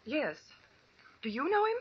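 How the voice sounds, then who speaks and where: An actress playing an anxious, pleading young niece in an old-time radio drama. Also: female voice, high voice, very animated, unhurried. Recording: muffled, very noisy old radio recording.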